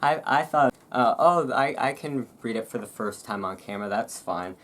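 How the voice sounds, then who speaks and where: A host reading aloud in a relaxed ASMR style, with informal, self-deprecating asides and rain and thunder behind. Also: mid-range voice, moderate pace. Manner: informal, self-deprecating, stumbles over foreign words. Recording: clear background noise of rain and thunderstorm. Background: rain and thunderstorm ambience.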